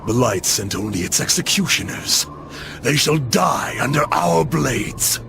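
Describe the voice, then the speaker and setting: An actor playing a deranged cursed warlord in a video game, ranting grandiosely as if many voices share his mouth, with a gravelly voice. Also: medium pitch, animated, measured pace. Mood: raving defiance. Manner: grandiose, raving, theatrical. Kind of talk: dramatic video game cutscene acting. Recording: dramatic music behind the voice.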